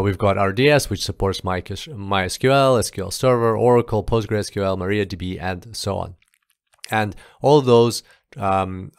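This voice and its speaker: A man's voice: on the low side, dry recording, clean studio sound. A man narrating an explanatory screencast tutorial as an instructor, informal and going step by step.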